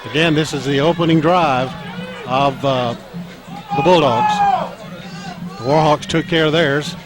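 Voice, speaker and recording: A man with a scratchy, strained voice calling a high school football game play by play, halting with fillers and lifting when a run breaks loose. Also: steady tone. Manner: halting, frequent fillers, rises when plays break open. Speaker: play-by-play announcer. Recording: crowd and music under the voice. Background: crowd and band music.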